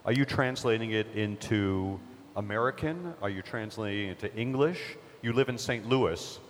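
A man's voice: on the low side, normal speed, slight background noise. A man moderating a panel discussion, asking probing questions and restarting his sentences with small stammers. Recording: echoey hall.

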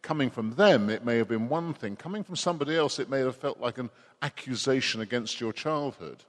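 A man's voice: medium pitch, lively intonation, a little fast. A man conducting an interview, asking long, winding questions with a dry wit. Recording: long hall reverb.

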